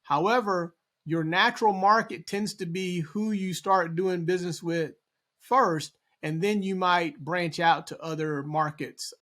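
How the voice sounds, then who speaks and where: A middle-aged man from eastern North Carolina, a business consultant speaking as an interview guest in a measured way, with frequent fillers. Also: high voice, sharp tone. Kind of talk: conversational interview.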